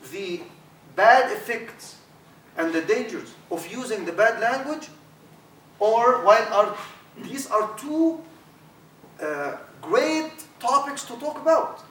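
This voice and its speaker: A middle-aged man with an Arabic accent, an imam teaching a training workshop, animated and repeating words as he talks, with Arabic fillers. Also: high voice. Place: university lecture room. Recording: echoey room, distant mic, faint hiss.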